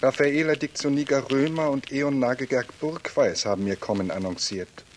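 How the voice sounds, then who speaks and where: An actor playing a formal, officious attendant making an announcement in a German radio drama. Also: male voice, medium pitch, normal speed, a little hiss or hum, lightly acted.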